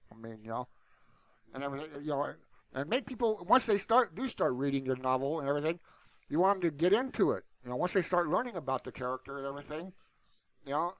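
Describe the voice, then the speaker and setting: Male channel host, slightly higher register, loose informal rambling, very dry close sound. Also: a bit bright, no room sound. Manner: informal and unscripted, repeatedly saying "you know". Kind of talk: casual, rambling solo talk to viewers.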